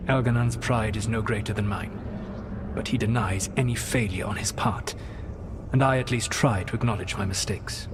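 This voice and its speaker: A man performs an ancient, proud elven god for a fantasy game. His voice sits low, with measured, formal phrasing and a weary, regretful weight. A noticeable ambient rumble runs behind him. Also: natural voice. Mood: reflective, candid. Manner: deliberate, formal, reflective. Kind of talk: dramatic video game acting.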